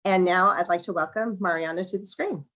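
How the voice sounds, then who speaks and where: A woman with a slightly crackly, hoarse voice hosting an online museum program, reading a welcome and introducing a guest, often clearing her throat and restarting words. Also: on the low side, a little fast, a bit bright. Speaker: museum education director hosting a webinar. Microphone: video call.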